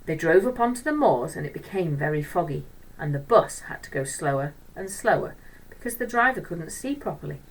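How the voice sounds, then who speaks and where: Expressive woman reading a children's story. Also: low-pitched, brisk, recorded from a distance, low-quality recording. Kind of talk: expressive children's audiobook-style narration.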